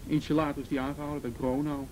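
A man playing a matter-of-fact policeman giving a measured, naturalistic report in a radio drama. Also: on the high side, flat delivery, noisy background, lightly acted.

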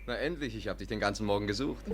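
An actor playing a cheeky, persuasive private detective in a 1950s German radio play, breezy and cajoling. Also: male voice, lowish voice, very fast, a little hiss or hum, lightly acted. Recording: 1950s radio recording, music behind.

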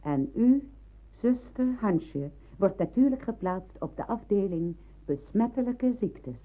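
A woman acting a strict, brisk hospital matron in an old radio play, formal and emphatic. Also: mid-range voice, animated. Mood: brisk, authoritative. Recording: narrow-band old radio recording.

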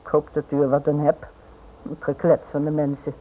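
A woman's voice: deep voice, completely flat delivery, a little fast, lightly acted. An actress playing a guarded, uneasy married woman in a radio play, hesitant and defensive, with clipped replies. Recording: tinny, noisy old radio drama recording.

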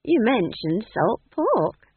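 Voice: mid-range voice, sing-song, breathy edge, dry recording, pitched above the performer's own voice, full character voice. A narrator voicing a cheeky, shameless old witch woman from the country, blunt and chatty, drawing out the words. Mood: cheeky, conversational. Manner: conversational, blunt, drawn-out phrasing. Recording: muffled, thin phone-like sound.

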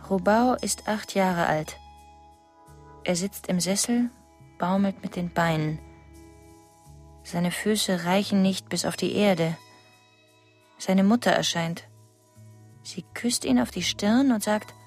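An actress playing a lonely, fearful wife who recites observations in a detached, clipped radio drama monologue. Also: female voice, slow pace, pauses often, a little mellow. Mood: haunted, detached. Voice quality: low, creaky. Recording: music behind the voice.

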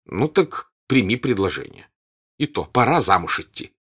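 A man's voice: lowish voice, very animated, unhurried, slightly rough, exaggerated voice. A man voicing a middle-aged countess in an audiobook, dry, mocking and impatient. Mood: exasperated. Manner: dry, scoffing.

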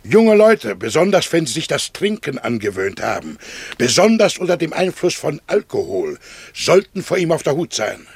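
A worried preachy middle-aged man in an old radio play, really hoarse-sounding husky voice, swings up and down. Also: a little high, big pitch swings, moderate pace, full character voice. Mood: earnest warning. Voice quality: husky, gravelly. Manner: muttering to himself, fretful, sententious. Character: anxious, moralizing middle-aged man wandering a night town. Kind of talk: 1960s German radio play acting.

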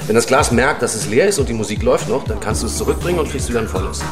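Man playing a chatty bar owner selling his concept, talks fast, music going behind him. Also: mid-range voice, lively intonation, close to an everyday voice. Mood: breezy, selling. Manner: chatty, salesman-like. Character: breezy male bar operator pitching his gadget-filled venue. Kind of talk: radio play, casual dialogue.

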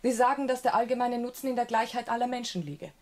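A woman playing a naive, frank young country gentlewoman in a German radio drama, artless and sure of her own opinions. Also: a little high, quick pace, lightly acted. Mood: self-assured, contrary. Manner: artless, self-assured, contrary.

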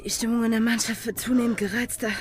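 A woman's voice: on the high side, little pitch movement, quick pace, background noise, lightly acted. An actress playing a bold, headstrong young woman pirate in a German radio drama, speaking with urgency. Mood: worried, challenging.

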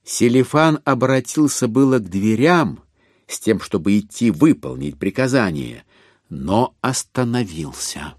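A man narrating a classic story in an audio drama, with an expressive literary delivery. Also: mid-range voice, unhurried, dry recording, clean studio sound.

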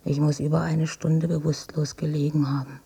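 An actress playing a frail older mother in a radio drama, weak and husky, long-suffering and self-effacing. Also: sits low, level tone, moderate pace, warm tone, exaggerated voice. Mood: pained, recounting. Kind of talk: intimate radio drama monologue and dialogue. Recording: dull cassette copy of radio play.

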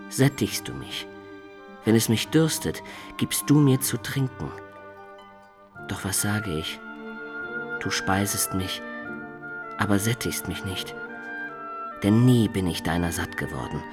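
An actor playing a lovesick young medieval student who recounts his life in a poetic, measured radio drama style, with hushed asides. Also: on the low side, expressive, over music. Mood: ardent, yearning. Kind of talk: literary radio drama narration.

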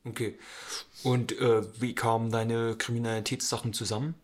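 A man's voice: mid-range voice, flat delivery, normal speed. A man interviewing someone with casual, direct questions.

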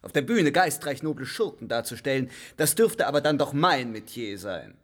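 An actor playing a grand, declamatory stage actor. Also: male voice, mid-range voice, very animated, moderate pace. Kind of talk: radio play acting.

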